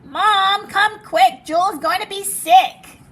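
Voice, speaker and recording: A woman reading as an excitable, fancy little girl from a storybook, dramatic and showy with her favorite words. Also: extremely high pitch, slow pace, pitched above the performer's own voice, full character voice. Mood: urgent, loud.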